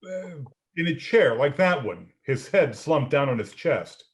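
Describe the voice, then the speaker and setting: A man's voice: on the high side, very animated, unhurried. A man playing a practical homicide detective in a radio play, plainspoken and matter-of-fact. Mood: skeptical.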